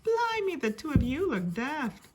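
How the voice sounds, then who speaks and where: A woman voicing a cheeky, bossy small girl in a storybook, with brisk, blunt exclamations. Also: high-pitched, sing-song, far from the mic, slight background noise, pitched above the performer's own voice. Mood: amused.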